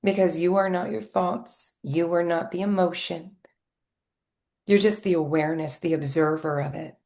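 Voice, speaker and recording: Woman hypnotherapist with a low, warm voice, unhurried and calming, leaving gentle pauses. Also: creaky. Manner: slow, soft and soothing, with gentle pauses. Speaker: hypnotherapist guiding a session. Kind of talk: guided hypnosis session.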